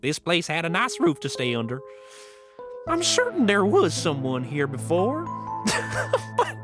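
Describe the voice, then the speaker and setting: Dotty, wildly swooping eccentric. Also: female voice, lowish voice, huge pitch swings, a little slow, over music. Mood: nervous, sheepish. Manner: giggly, stammering, folksy. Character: dotty, cheerful, evasive old-timey eccentric squatter. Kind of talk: theatrical western audio drama acting.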